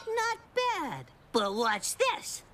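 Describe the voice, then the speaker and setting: A woman's voice: sits high, wildly swooping pitch, drawn-out words, noisy background, full character voice. A woman voicing a plucky, self-assured young boy in a cartoon. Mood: confident. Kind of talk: cartoon acting.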